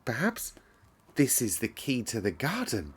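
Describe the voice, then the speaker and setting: An excited young girl from a storybook, voiced by a man. The girl is delighted and full of awe, speaking with breathless wonder. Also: mid-range voice, a little slow, slightly rough, exaggerated voice. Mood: awed whisper.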